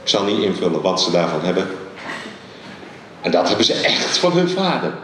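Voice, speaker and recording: Animated preacher in echoey hall. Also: male voice, deep voice, distant mic, a little hiss or hum. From Netherlands. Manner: animated, emphatic preaching with many pauses. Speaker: guest preacher. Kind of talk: sermon. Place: large dark church hall stage. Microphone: handheld mic.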